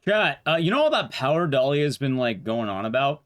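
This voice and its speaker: A man's voice: mid-range voice, very animated, brisk, a bit bright. A man streaming casual speedrun commentary, rambling wryly to his chat. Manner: wry, rambling asides to chat. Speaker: game streamer. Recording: game audio noise behind voice. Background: game gunfire and clicks.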